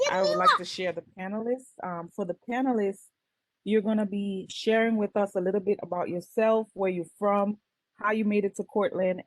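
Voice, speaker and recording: A woman in her thirties from Grenada, co-hosting a panel in a relaxed, friendly way with frequent fillers. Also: fairly low pitch, dry recording, rough recording. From Grenada, Caribbean. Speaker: co-host.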